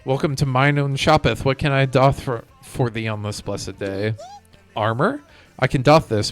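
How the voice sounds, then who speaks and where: A man's voice: medium pitch, lightly acted. A man voicing a plaintive young lord who keeps an armor shop in a video game, in flowery mock-archaic speech. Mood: courtly, bargaining. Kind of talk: video game dialogue read aloud. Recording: music playing behind the voice.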